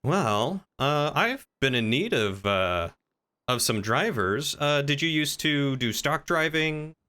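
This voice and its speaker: Bright-voiced man with lively, swooping pitch. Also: takes it slowly, smooth. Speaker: streamer playing a tavern-keeper character in online roleplay. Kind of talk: improvised in-character roleplay chat.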